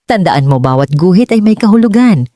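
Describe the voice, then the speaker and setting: A Filipino-accented woman narrating a scripted dramatic story in a measured, earnest way, as for an audiobook. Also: on the low side, animated, warm tone, dry recording, studio quality.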